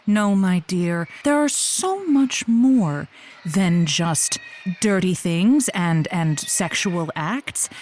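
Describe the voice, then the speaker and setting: A woman acting as a breezy advice host whose pitch moves in lively swings. She is encouraging and playful, stretching her phrases on an improvised comedy call-in show, with some noise behind her. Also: takes it slowly. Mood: encouraging, musing.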